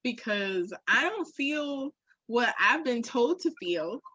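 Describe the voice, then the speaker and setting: Woman podcaster, husky mid-range voice, reflective and blunt, profane, casual talk, lo-fi sound. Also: on the high side, sing-song, slow pace, bright, forceful tone, no room sound. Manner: frank, uses profanity, reflective. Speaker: podcast co-host. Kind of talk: candid casual conversation. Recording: dull, muffled lo-fi audio.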